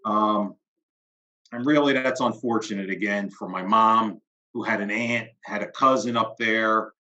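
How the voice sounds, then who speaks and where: A man speaks as an interview guest in a low but bright, forceful voice. He talks in a measured way and lets his phrases fade off quietly. The audio is slightly dull and lo-fi. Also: steady tone. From Philadelphia, USA. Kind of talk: reflective interview talk.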